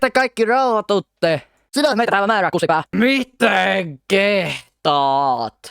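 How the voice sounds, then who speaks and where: A man's voice: high-pitched, lively intonation, creaky, fairly bright tone, smooth, pitched above the performer's own voice, full character voice. A man voicing bickering, bossy cartoon board-game players in an argumentative, blurting way for an amateur comedy fandub. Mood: quarrelsome.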